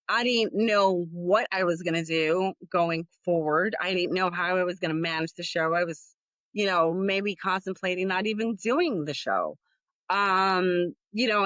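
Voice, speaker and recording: A woman hosting her own podcast, chatting in a slow, unhurried way. She rambles candidly and leans on plenty of fillers. The sound is dry and a little dull, as if recorded on modest gear. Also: mid-range voice, fairly bright tone, no echo. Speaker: podcast host. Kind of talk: casual solo podcast talk.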